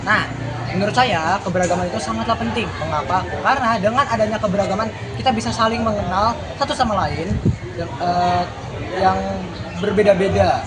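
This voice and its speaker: A man's voice: high-pitched, brisk. A teenage boy presenting a school task, reading a prepared introduction earnestly and sometimes stumbling. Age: teens. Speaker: student presenter. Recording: loud crowd and noise behind.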